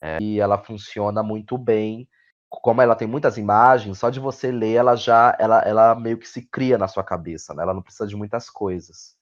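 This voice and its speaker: Low-voiced Brazilian man, dull lo-fi sound. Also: steady tone, fairly quick. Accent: Brazilian Portuguese. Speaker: interview guest, playwright. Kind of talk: reflective conversational interview. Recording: dull, lo-fi audio.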